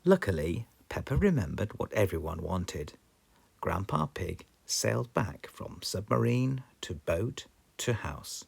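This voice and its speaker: Very animated British storybook narrator. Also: low-pitched, unhurried, creaky, husky. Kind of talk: expressive children's read-aloud.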